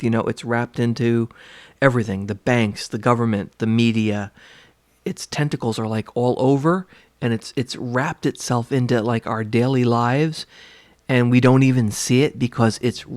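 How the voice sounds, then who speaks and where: A man giving a spiritual reading, a little stuffy and congested, with a slightly rough throat, rambling through fillers and restarts. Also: mid-range voice. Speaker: tarot reader. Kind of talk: casual spiritual talk to camera.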